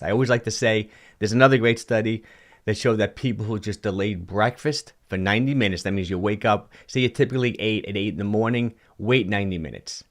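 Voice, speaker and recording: A man in his sixties hosting a fitness livestream, talking casually and answering viewer comments, animated and prone to quick restarts. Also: on the low side. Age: 60s. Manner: animated, talks with his hands, frequent restarts and repeats. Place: indoor home studio with colored lights. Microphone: large studio mic on a boom in front of him.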